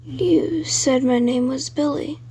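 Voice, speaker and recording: This is the ghost of a murdered paper boy, put on by a woman narrator, speaking almost entirely in an unvoiced, urgent whisper. The voice sits high and stays flat. The delivery is slow. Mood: hushed.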